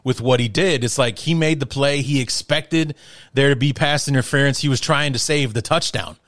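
A man's voice: medium pitch, moderate pace, fairly bright tone, no echo, clean studio sound. A man hosting a sports podcast, blunt and opinionated, swearing freely as he talks. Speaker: podcast host. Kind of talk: casual sports podcast talk.

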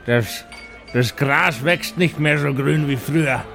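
A man's voice: a little high, slow pace, vocal fry, a bit bright, heavily acted. A man voicing a well-off, courteous dwarf banker who grumbles wearily in a tabletop game, with genteel manners and sighing interjections. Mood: grumbling. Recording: music playing behind the voice.

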